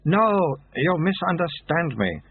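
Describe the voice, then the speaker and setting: A sly, self-satisfied secret-order monk, put on by a male audiobook narrator, his voice raised and drawn out at a deliberate, teasing pace. It comes across tinny and phone-like, with a hint of huskiness. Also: on the high side, very animated, slightly rough, voice pushed up, full character voice. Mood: smug. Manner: smug, patient and slyly teasing. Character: mysterious dry-witted male recruiter monk.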